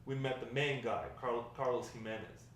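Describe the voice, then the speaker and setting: A man's voice: mid-range voice, fairly even intonation, normal speed, a bit bright, close to an everyday voice. A man reading the part of a wry old grandfather telling stories of a drug-dealing past at a script table read, with some stumbles. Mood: recounting. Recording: distant, very echoey room, faint noise.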